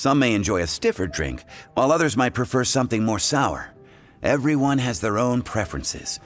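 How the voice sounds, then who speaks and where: A man's voice: medium pitch, lightly acted. An actor playing a friendly bartender explaining the basics of his trade, chuckling as he talks. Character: friendly adult male bartender teacher. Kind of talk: game cutscene voice acting.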